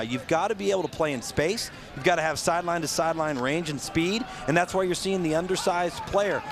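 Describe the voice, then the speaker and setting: An American man giving explanatory, technical football analysis as the color commentator on a game broadcast. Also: on the high side. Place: outdoor football stadium. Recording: noisy outdoor stadium broadcast.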